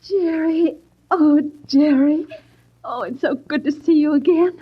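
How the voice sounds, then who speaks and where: An actress playing an effusive, affectionate wife in 1940s radio style, on a muffled old recording. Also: female voice, high-pitched, measured pace. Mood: gushing, excited. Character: gushing, affectionate wealthy young wife.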